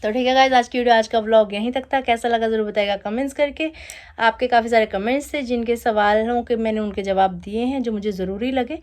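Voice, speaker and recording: Woman vlogger, thirties, higher voice, chatty home vlog, playful and teasing. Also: fairly even intonation, a little fast. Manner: chatty and laughing, teasing her husband. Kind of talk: casual vlog chat. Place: home at night, DJ music playing outside.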